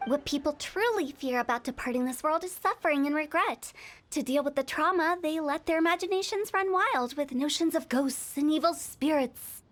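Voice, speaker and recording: A woman's voice: sits high, moderate pace, a bit bright. An actress playing a mischievous, teasing young woman who runs a funeral parlor in a video game. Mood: playful. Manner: teasing, joking, with sly afterthoughts.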